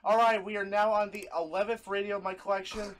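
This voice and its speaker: Young male hobby reviewer, slightly high voice, chatty and giggly, some background noise. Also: flat delivery, fast, fairly bright tone. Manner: giggly, easily breaks into laughter. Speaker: video host, hobby radio collector. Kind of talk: casual review with friends on a call.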